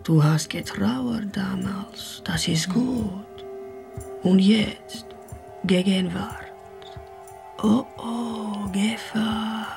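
A mysterious female fortune teller from a radio play. She drags out clipped, halting phrases with a gravelly creak, over faint music. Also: mid-range voice, a little mellow. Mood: ominous, mysterious. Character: mock fortune teller, a teasing female friend in disguise. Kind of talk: German radio drama acting.

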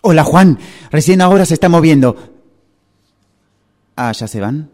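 A man's voice: a little high, expressive, moderate pace, a little mellow, natural voice. A man playing a friendly guy held up in traffic and phoning old friends in a radio drama, with an Argentine Spanish accent. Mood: let down. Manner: informal, chummy. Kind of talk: radio drama acting, one side of a phone call.

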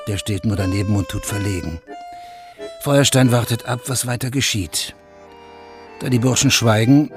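A man's voice: lowish voice, creaky. A man narrating a scripted radio drama in a sober, matter-of-fact manner. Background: music.

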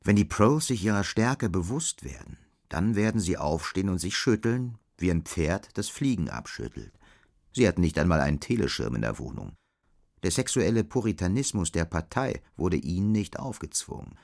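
An actor as the grave, detached male narrator of a dystopian radio play, delivering scripted literary prose in a measured way. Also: low voice, very animated, vocal fry, a little mellow, heavily acted.

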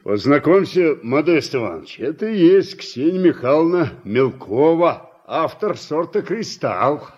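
An actor playing a middle-aged, plain-spoken Soviet farm man with an earthy, deliberate way of talking, in a radio drama. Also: on the high side, very animated, darkish tone, husky, exaggerated voice. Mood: friendly. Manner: earthy colloquial speech, reproachful and deliberate. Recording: dull, muffled old radio recording.

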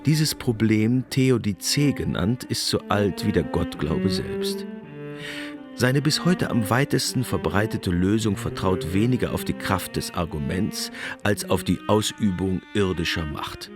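Very deep, gravelly male narrator. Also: lively intonation, a little slow. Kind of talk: scripted essayistic narration. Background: string music.

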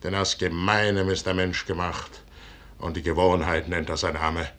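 An actor playing a proud, brooding middle-aged general in a 1950s radio play, declaiming in a weighty, solemn stage manner. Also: male voice, little pitch movement, fairly bright tone. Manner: declamatory, weighty, solemn delivery with measured pauses. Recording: noisy 1950s radio recording with rumble.

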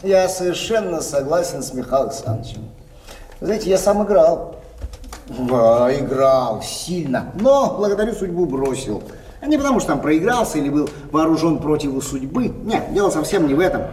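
A solemn, sententious gentleman in a stage comedy. His delivery is halting and pompous, with wide swings in a slightly high voice. He sounds distant in a reverberant hall. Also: big pitch swings. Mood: solemn, self-righteous. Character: solemn, sententious gentleman of thirty-nine, self-righteous. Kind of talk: theatrical stage comedy acting. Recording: echoey hall, distant mic, faint noise.

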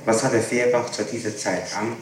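A man's voice: lowish voice, fairly even intonation, normal speed, very echoey, background noise. A man questioning formally as a police interrogator, methodical and insistent with short probing questions, on an old archival tape. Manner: methodical, insistent, matter-of-fact.

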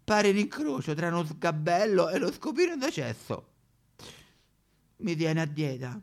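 A man's voice: sits high, big pitch swings, a little slow, full character voice. A man voicing a bitter, fearful mongrel dog in a satirical stage monologue, grumbling in colloquial Roman-accented Italian. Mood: anxious, complaining. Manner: grumbling, colloquial, exaggerated complaining.

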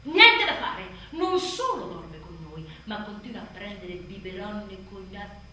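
Exasperated new mother, wildly animated, echoey. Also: mid-range voice, a little slow. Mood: sarcastic. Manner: dramatic, sardonic, swinging from muttered to shouted. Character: exhausted, exasperated new mother, bitterly funny. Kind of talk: theatrical confessional monologue. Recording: roomy distant theatre sound, faint noise.

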